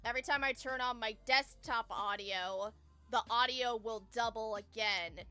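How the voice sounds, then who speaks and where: Girl streamer chatting to her viewers, real bright voice, says y'all, music playing behind. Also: on the high side, moderate pace, clean tone. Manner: informal, addresses viewers as y'all. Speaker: live game streamer. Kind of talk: casual livestream chat to viewers.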